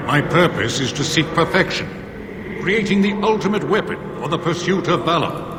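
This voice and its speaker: An actor playing a proud, stern spirit of valor in a fantasy video game, speaking in a formal, declamatory way. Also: male voice, fairly high pitch, lively intonation, moderate pace, close to an everyday voice. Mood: proud, challenging. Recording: long echoing reverb, clear background noise.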